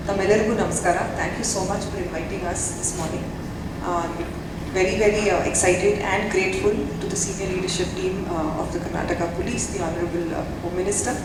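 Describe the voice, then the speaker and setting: A woman speaking as a panel guest, lively and chatty with fillers. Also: fairly low pitch, a little fast, heavy background noise. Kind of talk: public remarks at a panel. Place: large event hall. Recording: distant voice in a reverberant hall.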